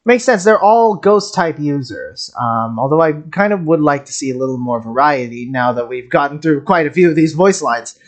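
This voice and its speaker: A streamer of middling pitch talks through game content off the cuff. The delivery is wry and geeky, wandering as thoughts arrive. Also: animated, moderate pace, few pauses. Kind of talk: casual stream commentary on game voice lines.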